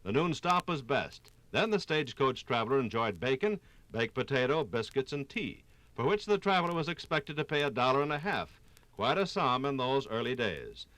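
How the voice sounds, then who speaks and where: A man narrating a scripted 1950s radio documentary with dramatic, storytelling phrasing and deliberate pauses. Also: medium pitch, sing-song, a bit bright, no room sound.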